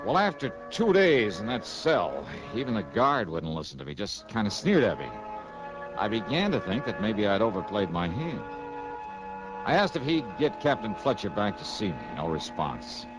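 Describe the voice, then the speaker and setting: Brash, animated 1950s radio detective man. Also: fairly low pitch, normal speed, low-quality recording. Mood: wry, frustrated. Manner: wry, conversational, emphatic. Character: wry, brash male freelance insurance investigator. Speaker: radio drama lead actor. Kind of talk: 1950s hardboiled radio acting, first-person narration. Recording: vintage radio drama with music.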